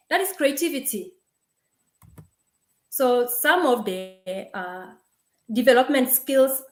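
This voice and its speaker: Young woman, first language Sesotho, webinar presenter, halting, repeats herself, video call, echoey room. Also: on the high side. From Lesotho. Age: young adult. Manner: halting, with many fillers and repeated words. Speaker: webinar speaker. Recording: roomy video call audio.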